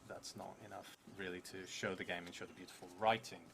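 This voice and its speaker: A man's voice: deep voice, little pitch movement, fast, vocal fry, a bit bright, a little hiss or hum. A man in his thirties narrating a solo tabletop role-playing game on a stream, reading prompts aloud and musing to himself. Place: indoor streaming setup in a theatre venue.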